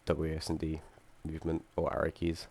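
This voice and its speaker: A young man with a low, gravelly voice, audibly croaky from being under the weather. He talks through his game's code in a halting way, full of ums and self-corrections, with the odd dry joke dropped in. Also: completely flat delivery, darkish tone. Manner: hesitant, many ums and restarts, dry asides. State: croaky from feeling unwell. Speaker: student presenting his game project. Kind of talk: screen-recorded code walkthrough.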